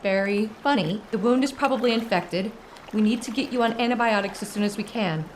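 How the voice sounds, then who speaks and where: A woman's voice: medium pitch, a little fast, background noise, close to an everyday voice. An actress playing an anxious, fiery, blunt woman aboard a submarine in an audio drama. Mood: relieved, caring. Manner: blunt, emotional, exclamatory. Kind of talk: full-cast audio drama acting.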